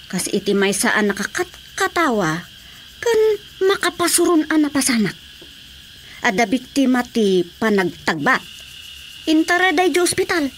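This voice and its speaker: High, lively Ilocano village woman. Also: a little slow, pauses often, a little hiss or hum. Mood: concerned. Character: talkative, concerned Filipino village woman. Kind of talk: Filipino radio drama acting.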